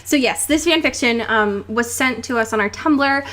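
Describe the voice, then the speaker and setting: High-voiced young woman chatting casually. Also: moderate pace, fairly bright tone. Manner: informal, sweary, with frequent "like". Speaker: podcast co-host. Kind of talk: casual chat, recapping a novel.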